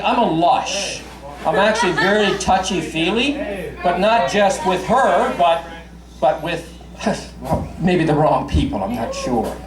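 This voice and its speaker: A man in his fifties from Newfoundland with a faint Newfoundland accent, doing crude, chatty stand-up in a bar and swearing freely. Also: high voice. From Newfoundland, Canada. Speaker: stand-up comedian. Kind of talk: stand-up comedy. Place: bar stage. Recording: echoey bar with crowd.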